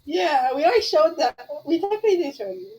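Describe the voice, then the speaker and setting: High-voiced woman streaming casually. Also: animated, fast talker, dark tone, highs cut off. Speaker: game streamer. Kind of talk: casual livestream chat.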